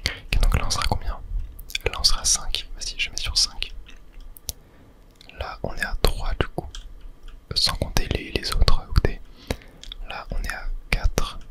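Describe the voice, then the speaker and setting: Someone whispering a game let's play, asmr style, all breath no voice, rambly and self-correcting. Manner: rambling, self-correcting, soft mouth-sound fillers like tac and hop. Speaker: gaming video host.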